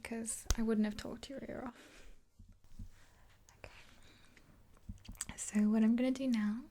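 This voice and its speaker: A woman in her twenties whispering gently and unhurriedly in a casual, rambling ASMR roleplay, close to the mic. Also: fairly high pitch. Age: 20s. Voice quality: breathy whisper. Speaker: ASMR roleplay performer as makeup artist. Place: small indoor room, dark backdrop.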